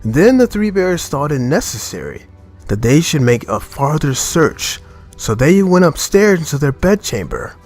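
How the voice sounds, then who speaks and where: A man narrating a children's tale as a storyteller, reading expressively in a measured manner. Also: fairly high pitch, big pitch swings, darkish tone, soft background music.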